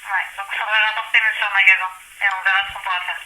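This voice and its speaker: A woman's voice: medium pitch, flat delivery, racing, bright, forceful tone. A woman working as a store customer service agent, answering politely and procedurally on an echoey, noisy phone line. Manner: polite, repeatedly deflecting to procedure.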